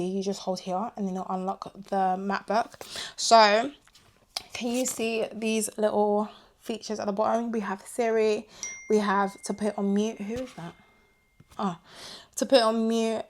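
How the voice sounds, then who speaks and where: Young woman, casual vlogger, chatty and animated, slightly hoarse voice. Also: mid-range voice. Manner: chatty and animated, drifting into asides. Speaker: vlogger reviewing her tech purchases. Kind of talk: casual chat to camera.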